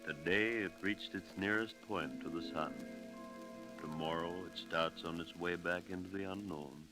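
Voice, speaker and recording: An actor playing a grave, quiet doctor at a bedside in an old-time radio drama. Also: male voice, low voice, normal speed, music in the background, low-quality recording. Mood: grave, hushed.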